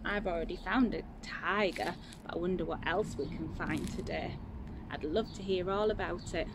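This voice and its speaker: A woman's voice: lowish voice, normal speed, background noise. A young woman narrating a children's storybook expressively, recorded outdoors. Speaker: storybook reader.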